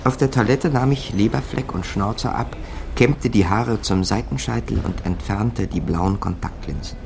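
A wry small-time crook tells his own story in a radio crime play. His lowish voice has a gravelly edge, and clear background ambience runs under his laconic narration. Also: normal speed, noisy background. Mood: dry, laconic. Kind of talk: first-person scripted narration in a radio crime drama.

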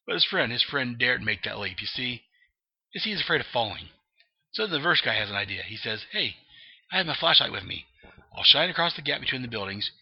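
A man's voice: mid-range voice, sing-song, brisk, husky, dry recording. A man doing a gleeful clown villain. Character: grinning deranged male clown villain telling a joke. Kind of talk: reading comic dialogue aloud. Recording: dull lo-fi dry recording.

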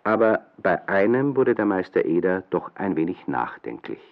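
A very deep-voiced man narrates a scripted children's story. His delivery is highly animated, with wide swings of melody. The recording is a slightly dull radio play. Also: moderate pace, vocal fry.

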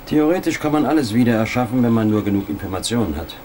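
An actor playing a precise professor of digital image manipulation, speaking in a lecturing, matter-of-fact way. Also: male voice, mid-range voice, lively intonation, quick pace, a little mellow, slight background noise, lightly acted.

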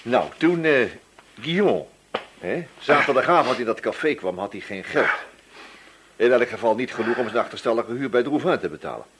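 An actor in a radio play voicing a shrewd, patient police inspector who probes casually in a musing, hesitant way. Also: male voice, mid-range voice, animated, normal speed, background noise, lightly acted. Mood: pressing, suspicious. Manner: musing, hesitant probing with repeated questions. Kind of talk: radio drama dialogue.

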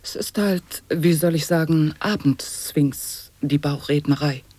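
An actress as a deadpan reciter of tongue-twisting nonsense in a radio play, articulating each syllable precisely. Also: female voice, low-pitched, unhurried, no room sound. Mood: musing. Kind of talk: avant-garde radio play recitation of sound poetry.

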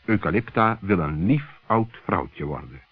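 A man's voice: low voice, lively intonation, measured pace, slightly rough, no room sound, heavily acted. A man narrating a children's radio play with theatrical, suspenseful storytelling. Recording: muffled old narrow-band radio recording.

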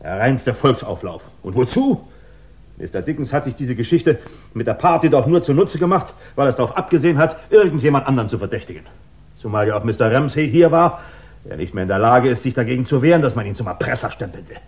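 Actor as brusque, impatient accusing official. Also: male voice, mid-range voice, animated, fast talker, dull audio, lightly acted. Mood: irritated, insistent. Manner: brusque, dismissive, argumentative. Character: irritable, impatient, dismissive male official pressing accusations. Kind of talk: 1960s German radio crime play acting.

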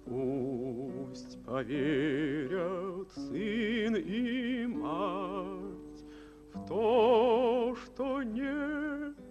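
A woman singing a slow dramatic song in a radio play. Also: mid-range voice. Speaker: singer. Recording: music behind the voice.

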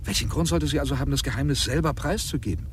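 An actor playing a sober, probing lawyer in a radio drama, with a formal manner. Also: male voice, mid-range voice, animated, racing, slight background noise, natural voice. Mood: sceptical, probing. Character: sober, probing lawyer questioning a strange witness.

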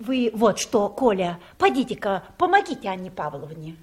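An actress playing a protective, easily indignant elderly wife in an audio play, with an agitated, fussing manner. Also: female voice, medium pitch, sing-song, normal speed, a little mellow, natural voice. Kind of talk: Soviet audio play acting.

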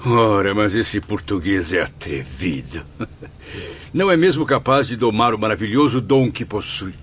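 A man's voice: fairly low pitch, animated, measured pace, a bit bright, a little hiss or hum. An actor playing a sincere, emotional spirit of a Portuguese man in a radio drama, with formal, theatrical diction. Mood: amused indignation. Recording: dull radio recording with music behind.

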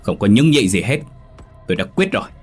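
Man voicing a stern, angry, low-voiced patriarch. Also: lively intonation, moderate pace, a bit bright, faint music behind. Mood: furious. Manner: curt, commanding, scolding. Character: stern, hot-tempered patriarch father-in-law, middle-aged or older.

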